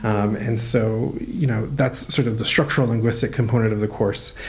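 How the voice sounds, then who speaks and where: A man speaking as a university professor, answering interview questions in a relaxed, thoughtful way with frequent pauses and fillers. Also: fairly low pitch. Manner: thoughtful, many "um" and "uh" while explaining.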